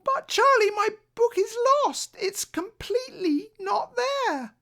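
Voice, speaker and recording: A woman voicing an excitable, stubborn, fretful little girl in a children's storybook, with childlike, insistent emphasis. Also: very high voice, slow, pitched above the performer's own voice, full character voice. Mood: upset, insistent. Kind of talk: children's storybook read aloud.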